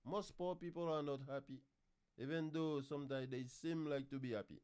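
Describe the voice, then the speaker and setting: A man's voice: a little high, fairly even intonation, moderate pace, darkish tone, clear, resonant tone. A man with a Haitian accent, a pastor teaching and promoting on a livestream in an emphatic, repetitive way. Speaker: pastor and host of a marriage teaching show. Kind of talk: preaching and promotional talk on a livestream.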